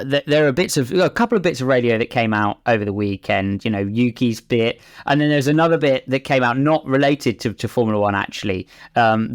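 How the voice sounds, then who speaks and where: A man talking as a racing driver and pundit, giving conversational, discursive analysis in an emphatic way. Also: mid-range voice.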